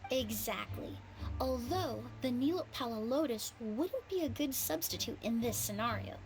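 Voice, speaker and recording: High-voiced, poised woman playing a clever investigator. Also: lively intonation, moderate pace, noisy background, close to an everyday voice. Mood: composed, knowing. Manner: precise, explanatory, composed. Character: poised, clever young female investigator with expert knowledge. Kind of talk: anime-style video game voice acting.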